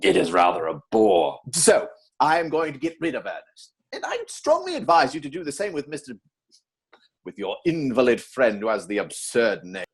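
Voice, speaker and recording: A man playing an earnest, easily exasperated young English gentleman in a stage comedy reading, stuffy and emphatic. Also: mid-range voice, huge pitch swings, normal speed, slightly rough. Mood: indignant, stuffy.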